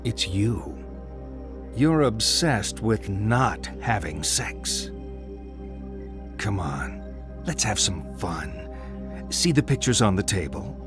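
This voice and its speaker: Slow, animated, goading psychiatrist. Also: male voice, lowish voice. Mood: sly, needling, then brooding, seductive. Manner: theatrical, pointed, deliberate delivery with rhetorical questions. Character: provocative, probing male psychiatrist, goading and impatient. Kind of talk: dramatic video game acting. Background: music.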